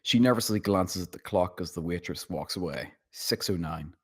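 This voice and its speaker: A man with a husky voice reading screenplay stage directions as scripted narration. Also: low-pitched, moderate pace. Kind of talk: scripted narration at table read.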